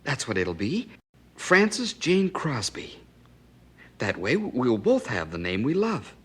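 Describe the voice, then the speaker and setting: A man's voice: mid-range voice, huge pitch swings, slow pace, fairly bright tone, breathy edge, exaggerated voice. An actor playing an earnest, flustered young husband and expectant father in a period audio drama, stammering a little. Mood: tender, hesitant. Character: excitable, devoted young husband and expectant first-time father.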